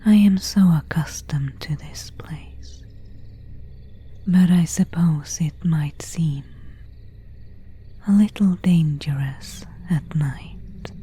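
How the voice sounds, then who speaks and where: Lady acting out a courteous but menacing vampire woman, asmr roleplay, super slow dark breathy whisper. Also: low voice, exaggerated voice. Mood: softly alluring. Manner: slow, formal, old-fashioned phrasing. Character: seductive, predatory female vampire, courteous and menacing.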